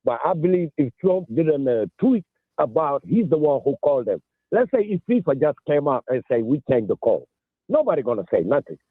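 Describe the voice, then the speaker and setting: A man in his sixties from Senegal calling in to a sports radio show, speaking emphatically with halting restarts. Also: fairly high pitch, sing-song, breathy edge, no room sound. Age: 60s. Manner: emphatic, halting, repeats himself. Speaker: caller. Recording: tinny phone line.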